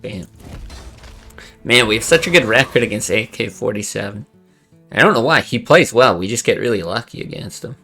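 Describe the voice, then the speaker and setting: A man's voice: lowish voice, animated, moderate pace, bright, forceful tone, breathy edge, soft background music. A young man streaming a card game, chatting casually and thinking aloud, swearing under his breath now and then. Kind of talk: casual gameplay commentary.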